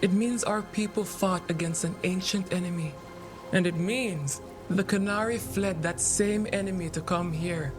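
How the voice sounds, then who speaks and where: An actor, a man, playing a solemn, cryptic seer in a fantasy video game, speaking in measured, oracular phrases. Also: sits high, music in the background, close to an everyday voice. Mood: grave. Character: solemn Qunari seer, vessel for spirits, cryptic and grave.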